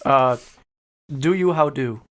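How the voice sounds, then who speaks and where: A man's voice: medium pitch, takes it slowly, fairly bright tone. A man co-hosting a podcast in casual chat, joking in a loose way. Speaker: podcast co-host reading listener questions.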